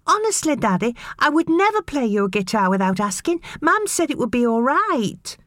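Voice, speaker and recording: A woman's voice: expressive, measured pace, a bit bright, no echo, clean studio sound. A woman doing a tearful pleading young boy. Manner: pleading, high childish voice.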